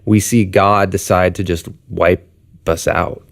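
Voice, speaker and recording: A man's voice: low voice, steady tone, takes it slowly, creaky. A man co-hosting an explainer, narrating in a casual, conversational and plain-spoken way. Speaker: co-host.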